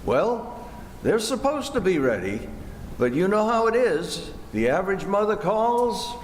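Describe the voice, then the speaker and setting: A man's voice: high-pitched, big pitch swings, measured pace. A man reading scripted narration as the narrator of an old-fashioned radio play. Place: indoor theater hall. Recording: noisy, echoey hall recording.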